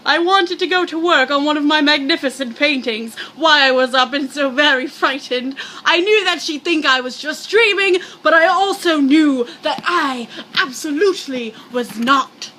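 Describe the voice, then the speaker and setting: High bright-voiced woman reading a scary story. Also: normal speed, few pauses. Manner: projecting loudly. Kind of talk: dramatic amateur read-aloud of a scary story.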